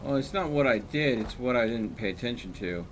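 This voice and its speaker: A man with a mid-pitched voice talks casually as he plays a game on stream. He thinks out loud and works through figures in an informal way. There is a faint layer of noise behind him. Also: lively intonation, brisk. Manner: thinking aloud, explaining numbers. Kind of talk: casual chat while gaming.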